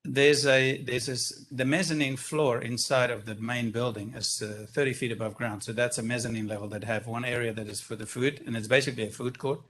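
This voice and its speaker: A man's voice: medium pitch, steady tone, brisk, hardly any pauses, fairly bright tone, a little hiss or hum. Enthusiastic South African businessman. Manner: enthusiastic, expansive, self-deprecating. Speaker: business owner presenting a project. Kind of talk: public comment at a council meeting. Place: council chamber. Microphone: speaking at a stand-up microphone.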